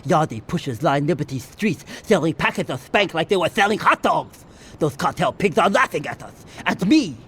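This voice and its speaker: An actor playing a ruthless, honor-obsessed crime boss who gives orders in formal, grave language. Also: male voice, a little high, sing-song, moderate pace, sharp tone, slightly rough, exaggerated voice. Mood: furious.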